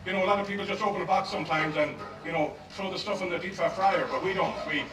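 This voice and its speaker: A middle-aged Irish man, a native Gaelic speaker, demonstrating a dish live as a chef and pub owner, talking in a relaxed, rambling way with many fillers. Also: high-pitched, fast, music in the background. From Ireland. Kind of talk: live cooking demo at a festival. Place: outdoor festival stage. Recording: echoey outdoor stage mic from far off, crowd noise.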